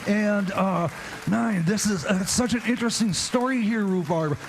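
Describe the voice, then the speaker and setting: A very high-pitched male talk show host in a radio comedy sketch. He is distracted and bumbling, stammering through his lines with hesitant, muddled delivery. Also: normal speed, noisy background. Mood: dithering. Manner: hesitant, stammering, fumbles names.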